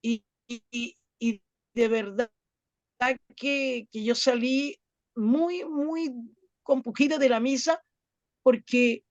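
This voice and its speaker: A woman from Latin America telling a personal story to a group in an earnest, halting way, repeating words as she goes. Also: a little high, no room sound, lo-fi sound. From Latin America, outside Chile. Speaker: club volunteer and workshop participant.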